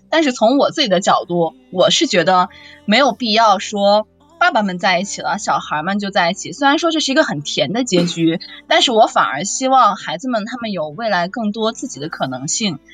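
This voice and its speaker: A woman's voice: mid-range voice, fairly bright tone. A woman voice actor in a casual chat, chatty and acting out imagined lines as she talks. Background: faint background music.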